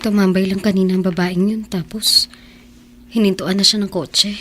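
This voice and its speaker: A woman's voice: medium pitch, level tone, moderate pace, loud music behind the voice, close to an everyday voice. An actress playing a naive, timid poor woman in a Filipino radio drama, with a hesitant, unsure way of speaking. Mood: troubled, musing. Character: naive, timid poor woman driven toward selling herself.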